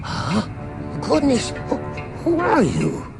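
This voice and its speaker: Kindly old dad from a game, slow n creaky, worried, quavering, music behind. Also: male voice, very high-pitched, wildly swooping pitch, heavily acted. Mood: startled. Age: older. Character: kindly, fretful old father searching for his son.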